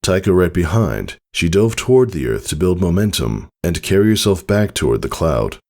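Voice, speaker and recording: Male story narrator: low, gravelly register, expressive dramatic reading. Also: moderate pace. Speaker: audiobook narrator. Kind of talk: scripted narration of fan fiction, dramatic storytelling.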